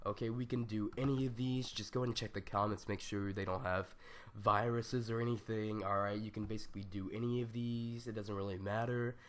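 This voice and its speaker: Raspy, stuffed-up young man, rambling casually. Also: on the low side, steady tone, fairly quick, fairly bright tone. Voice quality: scratchy. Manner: loose, rambling, with many ums and slang. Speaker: gaming tutorial youtuber. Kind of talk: casual screen-recorded tutorial.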